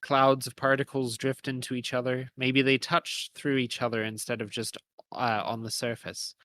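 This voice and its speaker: Bright-voiced man in thoughtful discussion. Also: mid-range voice, level tone, moderate pace. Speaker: podcast co-host. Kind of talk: conversational analytical discussion.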